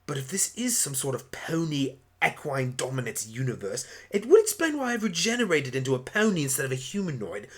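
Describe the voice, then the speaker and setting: A woman's voice: very deep voice, very animated, fairly quick, few pauses, husky, heavily acted. A woman voicing an eccentric, kindly male alien pony doctor, musing theatrically in a fan radio play. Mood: puzzled musing.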